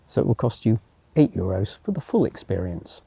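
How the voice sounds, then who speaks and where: A man's voice: on the low side, sing-song, normal speed, gravelly, mellow, slightly rough, dry recording. A man giving an unscripted travel commentary as he walks, musing to himself with wry asides and sometimes reading signs aloud. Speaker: travel vlogger. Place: outdoors, walking around a former military town.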